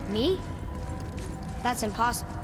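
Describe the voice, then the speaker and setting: A woman's voice: fairly high pitch, lively intonation, measured pace, music in the background. A performer playing a curious, eager young boy adventurer in a video game, earnest and full of questions.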